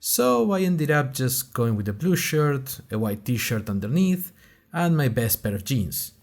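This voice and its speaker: A man's voice: mid-range voice, big pitch swings, unhurried, a little mellow, faint music behind. A man playing a wry college freshman who narrates his audio diary in a dry, conversational podcast style with hesitant asides. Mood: self-deprecating. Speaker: podcast host character.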